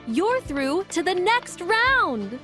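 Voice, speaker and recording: Very high-pitched announcer. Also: female voice, big pitch swings, slow, bright, forceful tone, soft background music, exaggerated voice. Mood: proclaiming. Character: formal tournament announcer declaring winners. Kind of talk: video game announcement.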